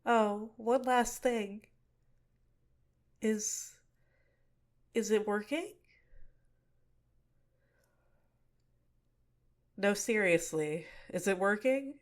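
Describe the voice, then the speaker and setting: A young woman playing a scheming, manipulative villainess in an ASMR roleplay, speaking softly and close to the mic. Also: mid-range voice, takes it slowly, long pauses, natural voice. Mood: smug, scornful.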